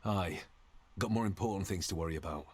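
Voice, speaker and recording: An actor playing a gruff, practical warrior companion in a fantasy video game, terse and wary in his speech. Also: low-pitched, brisk. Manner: terse, dry. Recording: very loud music behind the voice.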